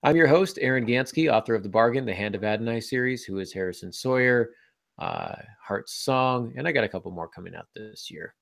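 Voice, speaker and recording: A man's voice: mid-range voice, a little fast, creaky, a bit bright, no room sound. A man hosting a writing podcast as an author, speaking in a casual, conversational style.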